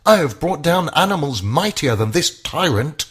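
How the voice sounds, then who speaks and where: A man's voice: a little high, sing-song, normal speed, fairly bright tone, slightly rough, pitched above the performer's own voice, heavily acted. A man voicing a brave, defiant shepherd boy who makes bold declarations in a children's story.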